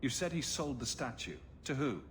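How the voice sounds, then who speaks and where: A man's voice: medium pitch, big pitch swings, normal speed, fairly bright tone, slight background noise. A man playing a resolute, businesslike hero in a video game, speaking in a direct, serious manner. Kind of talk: video game dramatic acting.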